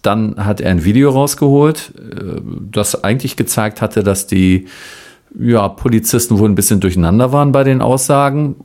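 A man with a low-pitched voice talks casually as an interviewer and reporter. He rambles along conversationally, with a dry, ironic edge to his remarks. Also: moderate pace, darkish tone.

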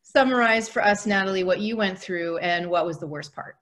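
A woman's voice: mid-range voice, brisk, fairly bright tone. Woman podcast host, informal and upbeat. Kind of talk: conversational interview.

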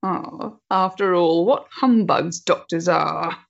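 An actress playing a proud, emotionally fragile 1890s woman in love, with a measured, theatrical way of talking in a period stage drama. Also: fairly low pitch, gravelly, muffled recording, full character voice. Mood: wry, proud.

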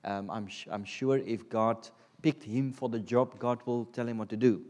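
A man's voice: medium pitch, steady tone, moderate pace, a little mellow. A man in his thirties with a South African accent, Afrikaans his first language, giving an informal sermon as a guest preacher, hesitant with many ums and restarts. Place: church sanctuary. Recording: reverberant church hall.

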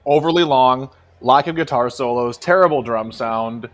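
A man's voice: medium pitch, normal speed. Sweary man in casual podcast talk. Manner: sweary, emphatic. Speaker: podcast co-host. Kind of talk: casual opinionated music discussion.

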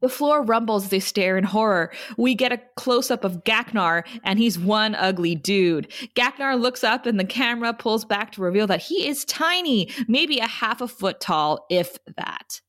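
A woman's voice: mid-range voice, animated, moderate pace, few pauses, fairly bright tone. A woman podcast host narrating a script at a table read and chatting casually.

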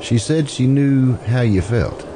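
A man's voice: mid-range voice, expressive, takes it slowly, background noise. An actor playing a blunt, folksy, inquisitive man in an old-time radio drama, a little impatient. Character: blunt, inquisitive, impatient listener awaiting a bus.

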